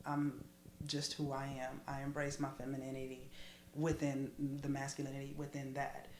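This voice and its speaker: An American woman in candid casual conversation, speaking in an emphatic, expressive, colloquial way. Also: very deep voice, moderate pace. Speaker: podcast conversation partner. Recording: roomy echo with clear background noise.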